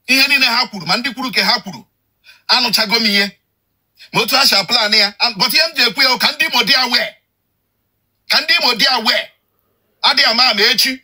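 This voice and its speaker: Urgent man, high bright husky voice. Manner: urgent, repetitive, switching between Igbo and English. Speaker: Igbo-language broadcaster. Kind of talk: impassioned appeal in Igbo mixed with English.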